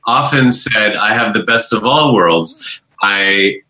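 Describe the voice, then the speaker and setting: A man's voice: lowish voice, animated, slow, bright, forceful tone. A man from the United States, a guest on a call, telling his life story with frequent restarts and little laughs. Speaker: guest, life coach and author. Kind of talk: casual interview. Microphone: remote call microphone. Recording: echoey room over a call.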